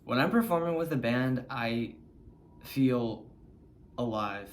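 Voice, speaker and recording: A man's voice: mid-range voice, expressive, slow, slight background noise. A man in his twenties, a band member, speaking in an earnest, heartfelt way in an interview. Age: 20s. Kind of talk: documentary interview.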